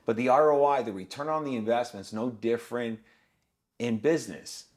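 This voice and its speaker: Man, 50s, podcast guest, blunt and emphatic, mid-pitched. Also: brisk, a little mellow. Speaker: guest, business owner. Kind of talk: podcast conversation.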